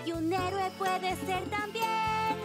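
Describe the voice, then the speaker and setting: A caring, high-voiced young train engine. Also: steady tone, takes it slowly. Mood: encouraging. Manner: affectionate, encouraging. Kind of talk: animated children's cartoon dubbing and songs. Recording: loud children's music under the voice.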